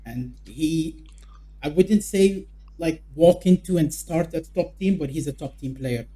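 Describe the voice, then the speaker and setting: A man's voice: fairly high pitch, unhurried, warm tone. A man giving his opinions casually as a panelist in a football discussion.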